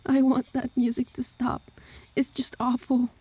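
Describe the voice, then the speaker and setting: A woman's voice: sits high, completely flat delivery, a little slow, dark tone, slightly rough, no echo, voice pushed up. A woman voicing a worried, distressed young best friend in an audio story, with an urgent, pained manner. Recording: music behind the voice.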